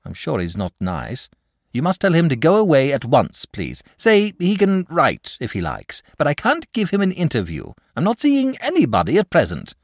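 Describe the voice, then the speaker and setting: A man's voice: on the high side, wildly swooping pitch, moderate pace, no echo, clean studio sound, pitched above the performer's own voice, exaggerated voice. A man voicing a lazy, languid dragon. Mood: fretful. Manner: lazy, solemn, drawling. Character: lazy, gentle, cowardly male dragon.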